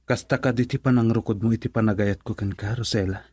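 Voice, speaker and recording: A man's voice: lowish voice, fairly even intonation, fast talker, a little mellow, breathy edge, no room sound, clean studio sound. A Filipino man playing an earnest, lovelorn suitor in a radio drama, speaking with pleading devotion.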